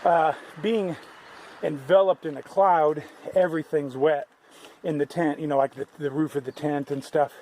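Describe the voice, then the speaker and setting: A man records himself on a mountain trail, his voice sitting a little high as he rambles through his morning. He talks loosely, backs up and restarts his sentences. A faint outdoor hiss runs under him. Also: fairly even intonation, normal speed, dark tone. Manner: rambling, conversational, many restarts. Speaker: thru-hiker vlogger. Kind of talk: casual trail vlog.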